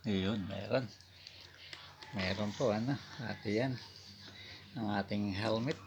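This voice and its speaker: A man with a Filipino accent giving a casual product demonstration as a vlogger, in halting, piecemeal phrases. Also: fairly low pitch, vocal fry, background noise. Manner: halting, piecemeal delivery with repeated short filler phrases. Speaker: vlogger reviewing gear.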